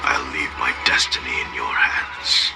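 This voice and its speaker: A man's voice: extremely low pitch, steady tone, unhurried, gravelly, heavily acted. An actor playing a weary, brooding male warrior spirit in a video game, solemn and theatrical. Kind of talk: video game dramatic acting. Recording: loud game music under the voice.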